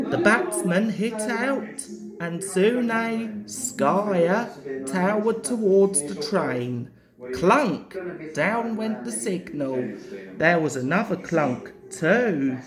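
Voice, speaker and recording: A woman reading a children's story as an expressive narrator. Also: mid-range voice. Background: music.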